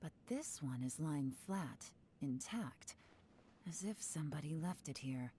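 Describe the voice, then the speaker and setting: A cool, shrewd video game investigator with a low voice. She is measured and self-assured, and some noise sits behind her. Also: expressive, dark tone. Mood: composed, analytical. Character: cool, composed, shrewd adult woman investigator.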